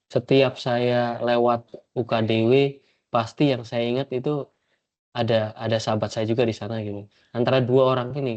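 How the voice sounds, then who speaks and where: A man's voice: mid-range voice, a little mellow. A man with a stuffy nose from a cold, a professor giving rambling congratulatory remarks in an online meeting, full of tag fillers. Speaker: professor and supervisor. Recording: dull lo-fi call audio.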